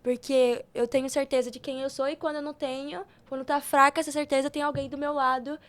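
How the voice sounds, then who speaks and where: High-pitched young Brazilian woman, chatting informally. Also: level tone, fast. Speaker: podcast guest. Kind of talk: casual podcast conversation, personal storytelling.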